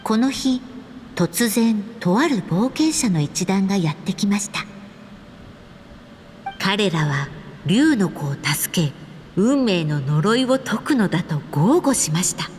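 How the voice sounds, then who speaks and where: A woman playing a storybook narrator in a game, telling a fairy tale in a measured, formal way. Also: mid-range voice, lively intonation, darkish tone, natural voice. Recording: long reverb, faint background noise.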